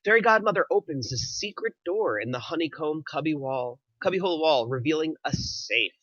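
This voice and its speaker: A man's voice: fairly high pitch, expressive, a little fast, a bit bright. A man reading scripted stage directions as narrator of a screenplay, in lo-fi sound.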